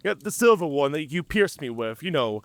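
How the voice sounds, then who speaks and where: A man's voice: a little high, big pitch swings, normal speed, a bit bright, no room sound. A man playing a wounded, wry, talkative werewolf in human form, theatrical and bantering, in an audio drama. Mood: amused, teasing. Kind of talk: cinematic audio roleplay acting.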